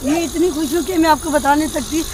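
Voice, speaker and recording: A woman's voice: sits high, fast, crowd noise. A woman in her twenties with a Pakistani Urdu accent, polite and agreeable, chatting at an outdoor cafe table. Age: 20s. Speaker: prank target. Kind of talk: casual chat.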